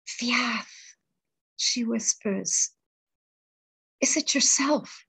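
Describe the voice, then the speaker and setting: A woman poet reading her poems aloud and talking between them, in a measured, deliberate way with pauses between phrases. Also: on the high side, vocal fry, pushed and bright, slightly rough. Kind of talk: poetry reading.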